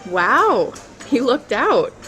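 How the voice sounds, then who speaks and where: A woman's voice: a little high, sing-song, extremely slow, pushed and bright, faint music behind, full character voice, low-quality recording. A woman acting in an audio drama as a flirtatious professional on a coffee date, self-assured and coy. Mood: flirtatious, curious.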